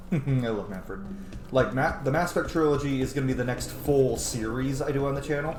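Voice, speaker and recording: A man's voice: medium pitch, brisk. A man in his thirties giving casual, gushing gameplay commentary as a streamer, sometimes swearing. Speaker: let's play streamer. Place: small room at home, indoors. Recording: loud game music behind, roomy sound. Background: video game music.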